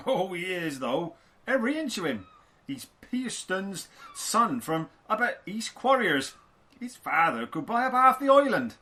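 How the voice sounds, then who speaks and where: Man playing a bright, swooping old gossip. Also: high-pitched, very animated, unhurried, exaggerated voice. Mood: gossipy, knowing. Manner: rustic dialect, chatty. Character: gossipy older local working man, deferential and knowing. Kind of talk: audio drama acting.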